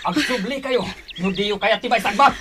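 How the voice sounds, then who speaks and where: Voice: mid-range voice, moderate pace, background noise, lightly acted. An actor with a Filipino Ilocano accent playing a menacing, taunting pursuer in a radio drama. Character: menacing pursuer, taunting and threatening those who flee.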